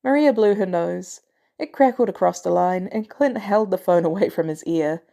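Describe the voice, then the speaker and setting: A woman's voice: on the low side, normal speed, vocal fry, warm tone. A woman reading scripted fiction as an audiobook narrator. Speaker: fan fiction audiobook reader.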